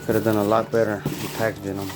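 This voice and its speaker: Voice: mid-range voice, little pitch movement, fast talker, heavy background noise. A person narrating an unboxing in a casual, laid-back, informal way. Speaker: unboxing video host. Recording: loud music behind the voice.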